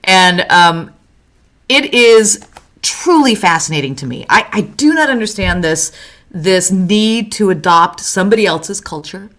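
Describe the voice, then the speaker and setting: A woman from Mexico co-hosting a relaxed talk show. Her voice is bright and clear, and she talks in an animated way, laughing often and slipping in Spanish words. Her pitch sits in an ordinary middle range. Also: unhurried. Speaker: co-host. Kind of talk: casual chat.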